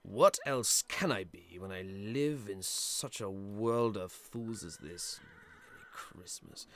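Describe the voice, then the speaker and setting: An actor playing a bitter, scornful old miser in an audio play, with a sneering, curmudgeonly manner. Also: male voice, fairly low pitch, wildly swooping pitch, slow, fairly bright tone, slight background noise, full character voice. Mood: scornful, irritable.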